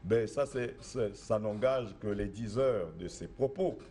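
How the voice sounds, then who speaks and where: A man's voice: lowish voice, a little slow, mellow. A middle-aged man from Congo, a traditional spiritual master, answering interview questions in an assertive, insistent way that repeats points. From Republic of the Congo.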